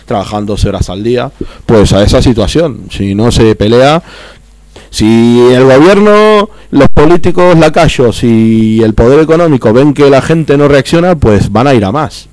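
A man's voice: medium pitch, lively intonation, moderate pace, a little mellow, no room sound, rough recording. A man with an Argentine accent talking heatedly on a political radio chat, repeating and tripping over his words. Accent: Argentine Spanish.

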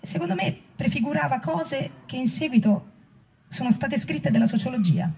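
An actress playing a reflective former political activist in a dramatic reading of oral history. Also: female voice, high voice, expressive, normal speed, dark tone. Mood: reflective, recollecting. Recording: distant microphone, music underneath.

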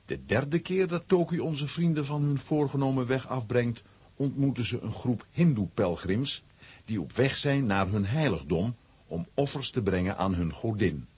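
A man narrating a children's adventure audio play as an expressive storyteller, with a rough, husky voice. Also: mid-range voice, normal speed. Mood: storytelling.

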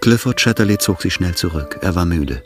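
A man's voice: sits low, lively intonation, a little fast, creaky. A man reading scripted literary narration for a radio drama. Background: music.

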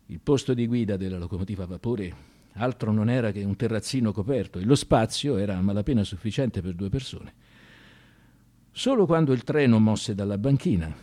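A man delivers theatrical radio storytelling in a slightly low voice. His intonation swings widely, with emphatic stresses and deliberate pauses, and the sound is dry and close. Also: dark tone, no echo. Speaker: narrator of a radio drama. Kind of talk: dramatic scripted storytelling, theatrical radio narration.

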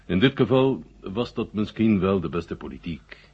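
Deep, husky Soviet marshal. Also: male voice, animated, normal speed, full character voice. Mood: dryly frank. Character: Soviet marshal, senior, urbane, slyly frank military man. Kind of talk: 1970 Dutch radio drama acting. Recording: muffled old radio recording.

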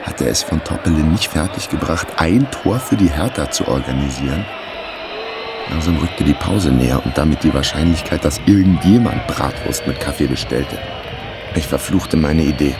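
A wry, laconic detective narrates a radio crime story. His voice is extremely low and gravelly, with a dry delivery. Music runs underneath. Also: moderate pace. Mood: tense, wry. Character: wry male amateur detective narrating his own case. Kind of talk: scripted first-person crime radio drama narration.

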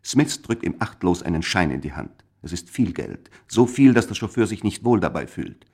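Low-voiced male radio play narrator. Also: expressive, a little mellow, breathy edge. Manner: measured, literary delivery.

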